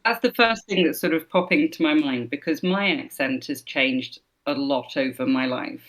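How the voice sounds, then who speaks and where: A woman's voice: deep voice, moderate pace, a bit bright. A woman from Scotland with no Scottish accent, a voice actor chatting thoughtfully in an interview, with many fillers. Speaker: voice actor, guest. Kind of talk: casual interview chat.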